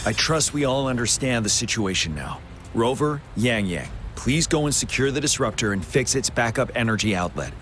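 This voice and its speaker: An actor playing an authoritative military general in a game, speaking in a measured, formal, commanding way. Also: male voice, mid-range voice, animated, a bit bright, soft background music, close to an everyday voice. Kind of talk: dramatic video game acting.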